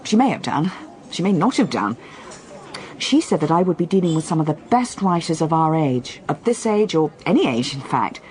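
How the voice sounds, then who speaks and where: A woman's voice: deep voice, big pitch swings, normal speed, a little mellow. A woman acting in a radio drama as an earnest, restless reporter, articulate but hesitant and questioning. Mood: wry, chatty.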